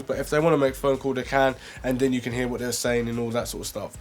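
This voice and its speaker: A young man reviewing earphones in a casual, rambling way, full of fillers and restarts. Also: medium pitch. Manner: rambling, self-correcting, lots of fillers. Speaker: product reviewer.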